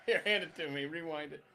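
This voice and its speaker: A man's voice: fairly high pitch, creaky, bright, forceful tone. A man hosting a casual live show with playful, teasing banter. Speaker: host of a live stream show.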